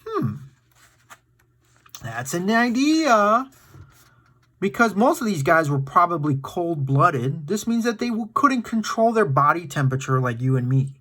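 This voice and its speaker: A man reads aloud for a children's story time with a very lively, swooping delivery. He takes his time, breaking into casual asides and excited exclamations. His manner is playful and dramatic. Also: on the high side, a little slow. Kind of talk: animated read-aloud with casual asides to young viewers.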